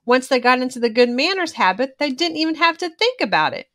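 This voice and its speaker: A woman reading a children's storybook aloud in an expressive, emphatic way, drawing out key words. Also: high voice, brisk. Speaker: children's book reader.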